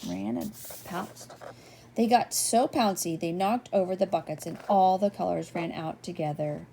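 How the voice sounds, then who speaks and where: Older woman reading a picture book, creaky voice. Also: lowish voice, sing-song, a little slow, slight background noise. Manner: gentle, playful, addresses young listeners with questions and asides. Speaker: read-aloud storyteller. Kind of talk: expressive children's picture-book reading with asides.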